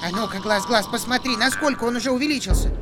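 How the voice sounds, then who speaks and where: A man's voice: very high voice, fast talker, voice pushed up. A man voicing an anxious, bossy old village mother in a folk tale, with music behind. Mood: urgent, anxious. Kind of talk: storybook character voice in a folk tale.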